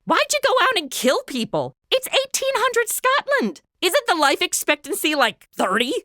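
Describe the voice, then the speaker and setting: A woman's voice: extremely high pitch, wildly swooping pitch, normal speed, bright, forceful tone, no room sound, clean studio sound, exaggerated voice. A woman playing a brash, sarcastic modern sidekick in a comedy audio drama, with a snarky, casual, slangy delivery. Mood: wry, teasing.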